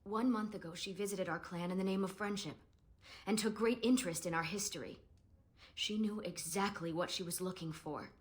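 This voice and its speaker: A woman's voice: mid-range voice, moderate pace, natural voice. An actress playing a proud, guarded young elven woman in a fantasy game, speaking earnestly and formally. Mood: earnest, solemn. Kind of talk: scripted fantasy game dialogue.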